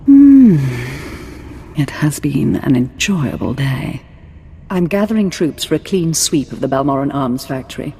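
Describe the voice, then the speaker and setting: An actress playing a haughty, seductive female Sith lord in a video game, deliberate and sultry. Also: low-pitched, big pitch swings, warm tone, slight background noise, exaggerated voice. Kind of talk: dramatic video game dialogue. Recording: long reverb, music behind.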